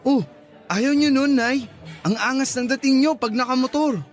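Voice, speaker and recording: An actor playing a playful young boyfriend in a radio drama, teasing and eager, with soft music underneath. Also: male voice, extremely high pitch, normal speed.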